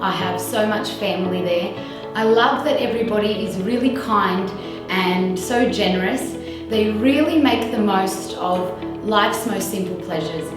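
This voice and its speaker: An Australian woman with a mid-range voice speaks with clear enthusiasm. She sounds distant in an echoing room, with music under her voice. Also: mid-range voice. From Melbourne, Australia. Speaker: school staff member. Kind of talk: personal introduction to camera. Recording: echoey room, distant mic, background music.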